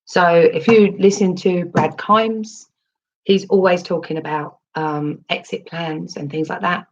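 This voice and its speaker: Female livestream host, low husky voice, chatty and rambling, dull lo-fi mic. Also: fairly even intonation, unhurried. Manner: chatty, rambling, with frequent ums and restarts. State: recovering from losing her voice. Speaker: crypto livestream host reading chat. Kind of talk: casual livestream chat.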